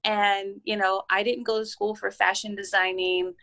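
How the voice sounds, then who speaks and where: A woman from Baltimore, Maryland, talking as an interview guest in a steady, self-assured way. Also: medium pitch, normal speed. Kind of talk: casual interview talk.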